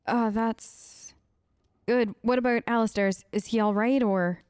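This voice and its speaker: Higher-voiced woman, halting, very dry sound. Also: steady tone, a little mellow, smooth, no room sound. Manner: hesitant, halting, conversational. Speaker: gaming let's player. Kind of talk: improvised in-character role-play of game dialogue.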